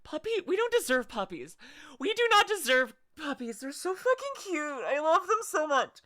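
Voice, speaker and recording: A woman's voice: high-pitched, sing-song, normal speed, pushed and bright, no room sound. A young woman streaming from home, chatting casually and gushing effusively, with many restarts. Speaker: streamer reacting to videos. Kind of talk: casual live-stream chat.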